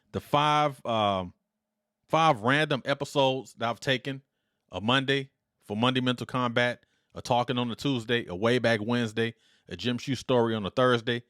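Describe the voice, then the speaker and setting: Loud, confident middle-aged man hosting. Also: mid-range voice, dry recording, clean studio sound. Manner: loud, confident, upbeat and deliberate. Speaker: podcast host. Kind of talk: solo podcast monologue. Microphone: dynamic studio mic.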